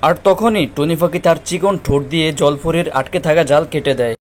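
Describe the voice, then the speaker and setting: A man narrates a children's cartoon tale from a script. His voice sits somewhat high and moves quickly, with faint noise underneath.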